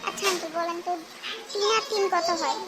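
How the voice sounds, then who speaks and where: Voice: very high-pitched, fairly even intonation, fast, very noisy. A performer voicing a cheeky, clever young boy in a cartoon, speaking in a teasing, playful way. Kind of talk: cartoon dubbing.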